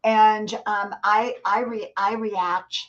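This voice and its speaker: A woman's voice: medium pitch, steady tone, slow, a bit bright. Chatty woman in her sixties, slightly muffled. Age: 60s. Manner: chatty, repeats herself, encouraging. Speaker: live stream host. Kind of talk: casual live stream chat with viewers.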